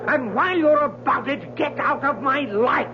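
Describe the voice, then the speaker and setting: A man's voice: very high-pitched, moderate pace. An actor playing an angry, secretive married man in an old radio drama, curt and accusing. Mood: furious, accusing. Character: angry, secretive married man guarding his mysterious box. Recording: noisy, dull old radio broadcast.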